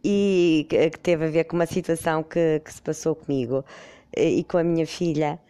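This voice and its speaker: A middle-aged woman with a European Portuguese accent hosts her podcast while stuffy with a cold. She rambles and corrects herself with amusement as she tells a personal story. Her voice is smooth and clear, recorded close and dry. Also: sits low, steady tone, normal speed, mellow, no echo. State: congested with a cold. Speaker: podcast host.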